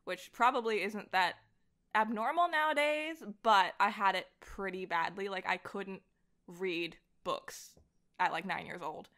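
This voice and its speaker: Bright-voiced young woman chatting casually. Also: mid-range voice, a little slow. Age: young adult. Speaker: podcast guest, voice actor and director. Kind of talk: casual chat, personal storytelling.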